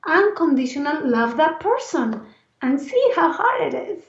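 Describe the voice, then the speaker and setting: A woman from Argentina with an Argentine Spanish accent and a raspy voice, speaking earnestly and reflectively as an interview guest. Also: high voice, very animated, a little slow. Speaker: interview guest, life and movement coach. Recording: roomy echo, distant mic, dull sound.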